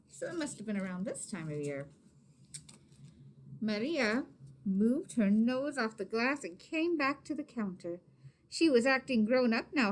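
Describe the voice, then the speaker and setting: A woman reading a children's picture book aloud expressively, pausing to explain and put questions to young listeners. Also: high-pitched, slight background noise. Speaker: read-aloud storyteller, teacher.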